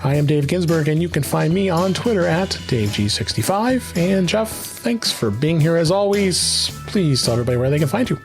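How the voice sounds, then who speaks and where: A man with a slightly high voice hosts a podcast in a casual, chatty style. Faint music can be heard behind him. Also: animated, moderate pace, few pauses. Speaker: podcast host.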